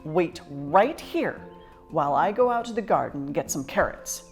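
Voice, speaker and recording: Storybook dog played by a woman: male, dim but good-natured, earnest, easily fooled, animated delivery. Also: deep voice, normal speed, soft background music. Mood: trusting.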